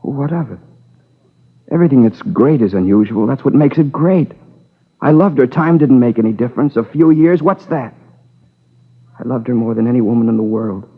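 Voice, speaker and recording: An actor playing a tormented man in his twenties, anguished, defensive and halting, in a 1950s radio crime drama. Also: medium pitch, breathy edge. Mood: impassioned. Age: 20s. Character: tormented young man confessing to killing his lover. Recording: muffled old 1950s radio recording.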